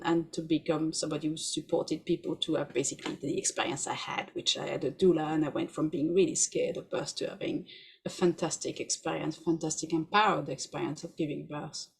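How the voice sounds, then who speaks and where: A woman in her forties from France with a French accent, a podcast guest talking quickly in animated asides. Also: deep voice, creaky, breathy edge, low-quality recording. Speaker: podcast guest, researcher and doula. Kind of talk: conversational interview.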